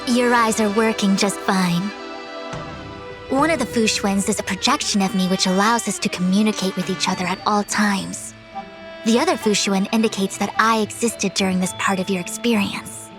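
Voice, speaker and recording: A woman's voice: medium pitch, fairly even intonation, normal speed, over music, natural voice. A woman voicing a composed, proud and learned master diviner in a video game, speaking in a formal, wordy, pompous way. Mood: calm, instructive. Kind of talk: scripted video game voice acting.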